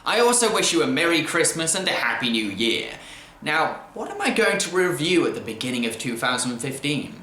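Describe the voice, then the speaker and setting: A man narrating a scripted video film review in an enthusiastic, expressive manner. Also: fairly high pitch, brisk, a little hiss or hum. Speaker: film reviewer and show host. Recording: roomy, echoey voice track.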